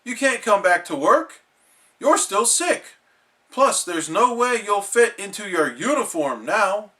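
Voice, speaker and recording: A man voicing a stern male cartoon crab boss who gives loud orders. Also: high-pitched, big pitch swings, takes it slowly, voice pushed up, exaggerated voice. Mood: stern alarm.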